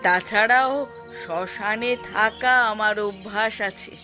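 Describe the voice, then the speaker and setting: A woman voicing a serene, fearless wandering holy woman in a cartoon, speaking calmly and formally. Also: mid-range voice, music in the background, natural voice. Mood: calm, composed. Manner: calm, formal, measured. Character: serene fearless wandering female ascetic of cremation grounds. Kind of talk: cartoon voice acting.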